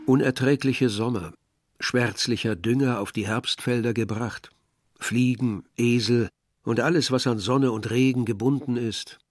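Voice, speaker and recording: An actor playing a reflective man narrating his village youth in a literary radio drama, measured and articulate. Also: mid-range voice, steady tone, faint music behind, lightly acted.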